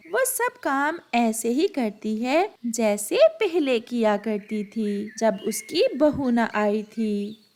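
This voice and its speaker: A woman's voice: a little high, a little hiss or hum. A woman reading scripted narration for a children's cartoon story.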